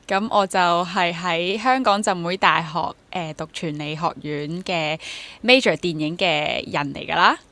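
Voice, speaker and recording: Low-pitched young Hong Kong woman, chatting candidly. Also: unhurried, few pauses, a bit bright. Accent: Hong Kong Cantonese. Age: 20s. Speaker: guest, stage actor. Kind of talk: casual interview chat.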